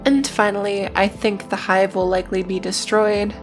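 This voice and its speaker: A woman's voice: mid-range voice, level tone, normal speed. A woman narrating a scripted video essay in a matter-of-fact way, with casual, dryly irreverent asides. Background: music.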